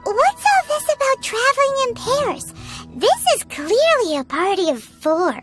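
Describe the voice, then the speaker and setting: Squeaky, excitable little fairy companion. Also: female voice, measured pace, exaggerated voice. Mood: baffled, blunt. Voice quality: squeaky, childlike. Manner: exclaiming, talks about self in third person. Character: tiny childlike female fairy companion, excitable and blunt. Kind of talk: video game voice acting. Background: music.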